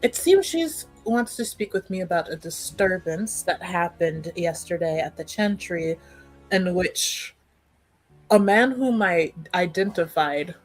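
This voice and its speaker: A woman playing a dutiful young noblewoman in a tabletop game, speaking formally and with measured, dryly wry wording. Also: lowish voice, lively intonation, faint music behind, low-quality recording. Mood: formal, guarded.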